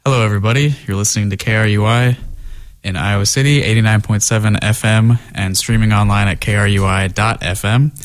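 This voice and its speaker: A man's voice: fairly low pitch, steady tone, fairly quick. A man hosting a casual radio show from a studio, laid-back and chuckling often as he talks into a studio microphone.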